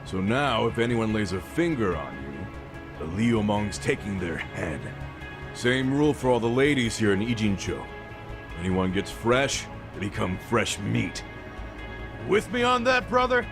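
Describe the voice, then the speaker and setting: An actor playing a brash, hot-headed gang boss in a video game dub, with slangy, swaggering tough talk. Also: male voice, on the low side, sing-song, a little slow, vocal fry, full character voice. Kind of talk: English-dub video game cutscene acting. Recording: music behind the voice.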